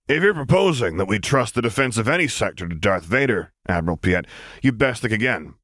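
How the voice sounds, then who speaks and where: A man voicing a gruff, stern, unbending male military general with a blunt manner, in an audiobook. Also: lowish voice, animated, fairly quick, fairly bright tone, no echo, clean studio sound, pitched above the performer's own voice. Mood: stern objection. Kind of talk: audiobook character voice.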